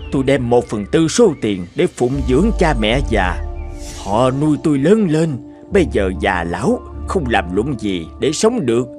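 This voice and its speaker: An actor playing a poor but clever graveyard keeper in a cartoon, explaining patiently and respectfully. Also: male voice, mid-range voice, darkish tone. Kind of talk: dubbed cartoon fairy tale acting. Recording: music behind the voice.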